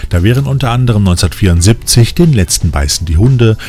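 A man's voice: fairly low pitch, expressive, quick pace, a little mellow. A man reading scripted narration as a podcast host. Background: background music.

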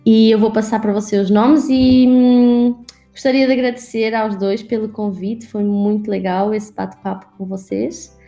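Casting note: female guest, mellow and easygoing, slightly high voice, light music underneath. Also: moderate pace. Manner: laughs easily, warm and relaxed. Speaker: podcast guest, forensic scientist. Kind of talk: casual interview chat.

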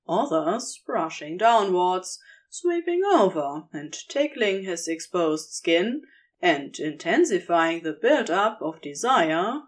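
A woman narrating scripted romantic fan fiction in an intimate storytelling style. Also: on the low side.

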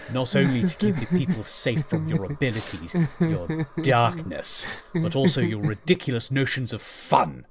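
A man playing a cruel, contemptuous royal father in a fantasy audio drama, speaking with haughty, archaic theatricality. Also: mid-range voice.

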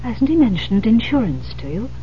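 A woman acting in a 1970s radio drama as a composed, insistent police detective who explains things deliberately and persuasively. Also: on the high side, very animated. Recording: dull, noisy 1970s radio recording.

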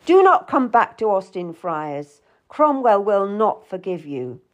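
Woman voicing a stern, angry man, drawn-out delivery. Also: medium pitch, big pitch swings, highs cut off. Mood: angry, rejecting. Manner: stern, icy, accusing. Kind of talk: dramatised audiobook dialogue.

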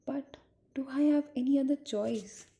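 A woman's voice: high voice, moderate pace, mellow. A woman playing a worried, earnest niece burdened by a sad secret in an amateur radio drama. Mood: sorrowful. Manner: earnest, grave.

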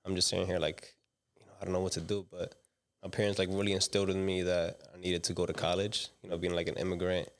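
Low, flat-voiced young man telling stories. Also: quick pace. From Dominican Republic. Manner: relaxed, slangy storytelling with many fillers. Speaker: guest, commercial director. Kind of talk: casual interview talk.

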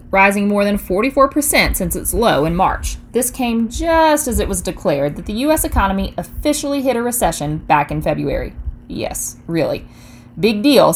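A woman's voice: mid-range voice, a bit bright, a little hiss or hum. A woman hosting a scripted news digest podcast in a casual, chatty way with playful asides.

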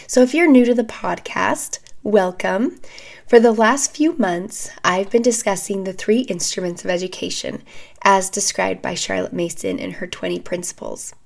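A woman in her thirties with a scratchy, hoarse voice, calmly hosting an educational podcast while recovering from an illness. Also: medium pitch. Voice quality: scratchy, gravelly voice. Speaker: podcast host. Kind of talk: scripted educational podcast talk.

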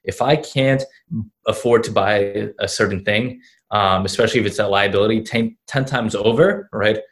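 A man's voice: lowish voice, moderate pace. A nineteen-year-old man from Russia, answering interview questions casually, rambling with lots of fillers like "you know" and "right?". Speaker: guest entrepreneur.

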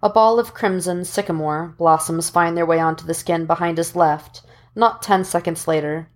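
A woman narrating fan fiction as an audiobook reader, in measured, deliberate phrases with dramatic pauses. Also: lowish voice, fairly even intonation.

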